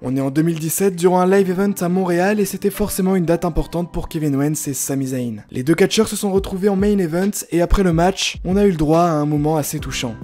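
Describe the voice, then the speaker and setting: A man's voice: high-pitched, fast, few pauses. A man hosting a wrestling countdown video with scripted narration. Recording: music behind the narration. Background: background music.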